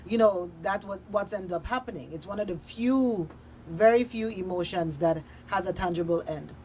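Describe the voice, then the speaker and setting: A woman's voice: medium pitch, normal speed, darkish tone, rough recording. A woman speaking earnestly and conversationally as a guest in a discussion, repeating phrases for emphasis. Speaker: radio drama producer, studio guest. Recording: noticeable background noise, room ambience.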